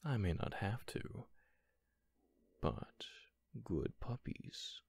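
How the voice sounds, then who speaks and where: Guy playing a gently dominant teasing roommate, asmr roleplay, slow soft talk, male, dry close mic. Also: fairly low pitch, big pitch swings, slightly rough, no echo, full character voice. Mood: tender, teasing. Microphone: close microphone, dry sound.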